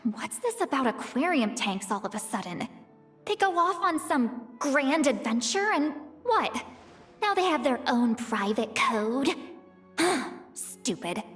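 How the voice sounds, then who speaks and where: A woman's voice: sits high, big pitch swings, slow pace, fairly bright tone, soft background music, full character voice. A voice actress playing a brash, hot-tempered woman tracker in a video game, breezy, blunt and prone to grumbling. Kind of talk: animated video game voice acting.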